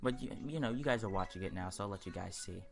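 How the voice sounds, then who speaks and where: A man's voice: sits low, fast, gravelly. A man giving casual let's play commentary on a video game, in a hesitant, rambling, stop-and-start way. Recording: game music behind the voice. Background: video game music.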